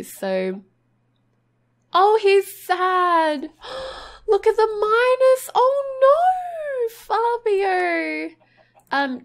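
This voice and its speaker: High-voiced bubbly woman with a cold. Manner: bubbly, chatty, with many ums and gasps. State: sniffly with a cold. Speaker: gaming channel host. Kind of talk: casual gameplay commentary.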